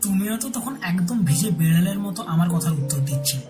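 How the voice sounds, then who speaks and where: A man acting as a Bengali husband in a romantic audio drama. He is devoted and gently romantic, with a teasing streak. Soft music runs behind the voice. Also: high voice, lively intonation, fairly quick. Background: soft background music.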